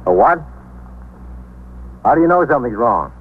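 An actor playing a businesslike American police desk sergeant, clipped and questioning, in a 1950s radio drama. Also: male voice, medium pitch, sing-song, normal speed, slightly rough. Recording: muffled, noisy 1950s radio recording.

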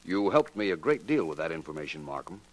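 An actor with an American accent playing a suave, assured detective in a 1940s radio drama, measured and pointed in delivery. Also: male voice, low-pitched, very animated, no room sound, poor audio. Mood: composed, explaining. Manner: measured, pointed, coolly deliberate. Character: suave, assured male amateur detective solving a murder. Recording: vintage 1940s radio recording.

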